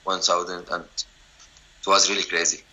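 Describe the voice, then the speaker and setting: A man in his twenties from Syria with an Arabic accent, telling his story in halting, broken English full of restarts. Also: sits low, flat delivery, sharp tone, poor audio. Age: 20s. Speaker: interviewee. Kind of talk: personal story, casual.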